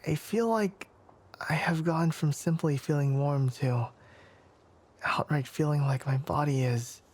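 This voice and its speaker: A man playing a flustered, formal young fox-eared forest scholar in an intimate ASMR anime roleplay, hesitant and stammering, often slipping into a breathy whisper. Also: on the high side, a little slow, darkish tone. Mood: breathless, yearning.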